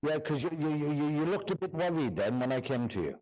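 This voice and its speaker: A male medium addresses a church congregation during a service. His speech is halting and repetitive, and he keeps checking that his listener follows him. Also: on the high side, animated, a little mellow, low-quality recording. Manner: repetitive, checks in often with "do you understand?". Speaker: guest medium.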